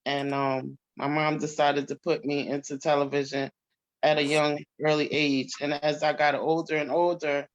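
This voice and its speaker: Dull lo-fi recording of an actress. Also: female voice, sits low, level tone, moderate pace. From New York, US. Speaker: stage actress being interviewed. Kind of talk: casual interview answers. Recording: dull, lo-fi audio.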